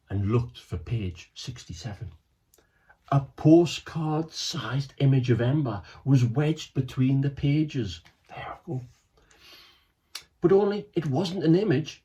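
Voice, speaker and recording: A man reading his own children's book aloud, lively and animated, with asides to listeners. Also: mid-range voice.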